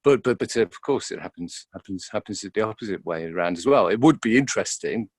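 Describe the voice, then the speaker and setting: Deep gravelly guy in an interview, keeps repeating words while he thinks, pretty dry sound. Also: expressive, fairly quick, no room sound. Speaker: actor being interviewed. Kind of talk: casual, reflective interview.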